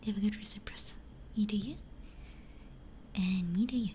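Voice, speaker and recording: A woman whispers in a hushed, breathy ASMR style, very close to the microphone. She chats casually and reflectively to stream viewers, with a light hiss of ambient noise behind her voice. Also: medium pitch, fairly quick. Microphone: close binaural ASMR microphone.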